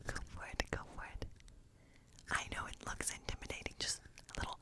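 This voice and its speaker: A woman playing a hospitable, eccentric woodland witch in an ASMR role play, speaking in a breathy whisper with a slow, coaxing, reassuring, rambling manner. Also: natural voice. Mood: gently coaxing. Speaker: ASMR performer.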